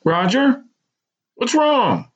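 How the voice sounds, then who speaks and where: A man's voice: very high-pitched, very animated, very slow, voice pushed up, full character voice. A man voicing a worried, tearful mother on the phone, speaking in a shaky, pleading voice. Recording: dull lo-fi recording.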